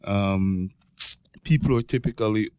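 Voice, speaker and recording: A man's voice: lowish voice, normal speed, dark tone. A man in his forties with a Jamaican accent, explaining conversationally as an expert guest and often checking in with "right?". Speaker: guest expert, geology professor. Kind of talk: conversational explaining in a podcast interview.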